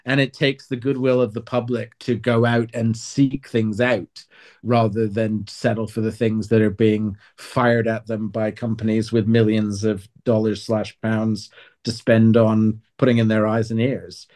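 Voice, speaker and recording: A man's voice: lowish voice, level tone, unhurried, a little mellow. A man talking as an author guest in a conversational interview, earnest and wordy with a wry streak.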